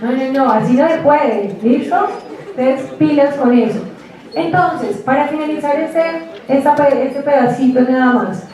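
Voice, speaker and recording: A woman giving a university lecture, chatty and lively, often asking the class rhetorical questions. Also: sits high, measured pace. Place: lecture room. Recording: echoey hall, distant mic.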